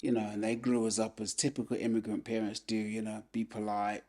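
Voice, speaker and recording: A man in his fifties with a fairly low voice, speaking as a guest on a casual podcast. He tells his story in an easy, unhurried way and leans on "you know" between thoughts. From England. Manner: relaxed storyteller, many "you know" fillers. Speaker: podcast guest, former boxer. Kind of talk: casual life-story interview.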